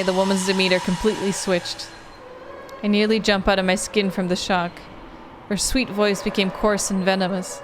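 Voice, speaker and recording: A woman's voice: medium pitch, background noise, lightly acted. A woman playing an earnest horror-game protagonist, reading the narration in a dramatic storytelling manner.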